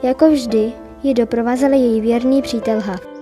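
A woman's voice: a little high, steady tone, over music. A woman reading scripted narration of a fairy tale as the storyteller.

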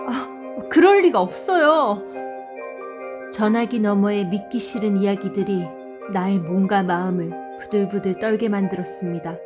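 A woman narrating a scripted audio drama and voicing the mother, with a soft, measured, sorrowful reading. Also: mid-range voice. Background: faint music.

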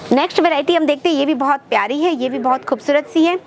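A young woman presenting clothing in a textile shop, talking to her viewers in a brisk, upbeat sales-pitch manner. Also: creaky. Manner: upbeat sales patter, addresses viewers as friends. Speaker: shopping vlogger presenting wholesale lehengas. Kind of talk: product showcase vlog. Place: textile shop full of stacked fabric, indoors.

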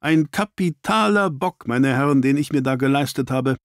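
A man voicing a stern gentleman detective in an audiobook, terse and commanding. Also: mid-range voice, expressive, moderate pace, no room sound, clean studio sound, close to an everyday voice. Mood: angry, self-reproachful.

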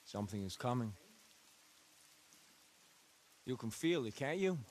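An actor playing an intense, messianic cult leader in a video game, delivering a dramatic sermon with deliberate, repetitive rhetoric. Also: male voice, medium pitch, big pitch swings, a little mellow. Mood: solemn, fervent. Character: intense messianic male cult leader preaching to his flock.

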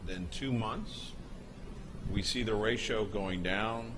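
A man giving an unscripted voice-over, explaining footage in a halting way with pauses and restarts. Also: on the low side, background noise. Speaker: narrator explaining a product video.

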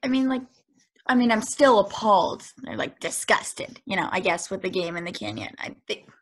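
An actress voicing a young woman who is uneasy and searching, speaking with hesitation and filler words in a husky voice on a video call table read. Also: medium pitch, animated, moderate pace, close to an everyday voice.

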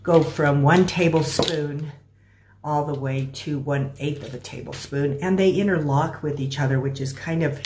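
A middle-aged American woman giving a casual, step-by-step cooking demonstration in a halting, explanatory way. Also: sits low. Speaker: home cooking instructor. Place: small room at home, indoors. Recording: clear background noise with clicks.

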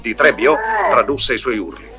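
A man's voice: medium pitch, moderate pace. A man reading scripted narration for an audio storybook. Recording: 1980s storybook record with music. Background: music.

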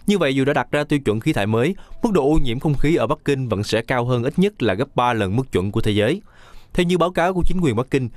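A man reading scripted news voice-over in a brisk, even newsreading manner. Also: mid-range voice, no echo. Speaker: news reporter.

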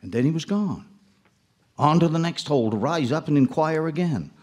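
A man's voice: on the high side, lively intonation, normal speed, darkish tone. Man acting a folksy storytelling fisherman, swooping intonation. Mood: somber. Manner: wry, folksy. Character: folksy lonely Maine ice fisherman telling a ghostly tale. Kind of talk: live radio drama first-person storytelling. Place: live on stage in an auditorium. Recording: noisy live stage recording.

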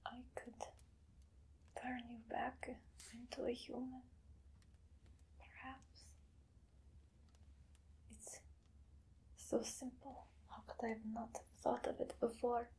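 A woman playing a menacing, obsessive female vampire hunter in a whispered ASMR roleplay, slow and deliberate. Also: on the high side, flat delivery, low-quality recording. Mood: coolly menacing. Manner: slow, hushed, deliberate, dramatic pauses. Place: small dim room indoors.

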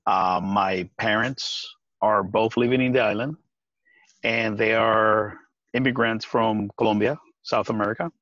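A man in his forties from Puerto Rico, talking earnestly on a video call from outdoors, with halting restarts and frequent laughs. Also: fairly low pitch, fairly even intonation, a bit bright, dry recording. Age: 40s. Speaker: guest. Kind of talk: casual video-call interview. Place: outdoors on a deck by trees. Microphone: video call webcam. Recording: dry, dull lo-fi webcam sound.

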